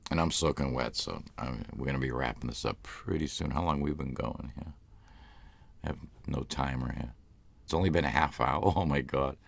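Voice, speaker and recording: A man's voice: extremely low pitch, normal speed, creaky, no echo. A man with a Boston accent, hosting a live stream in loose, rambling casual chat. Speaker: ASMR channel host.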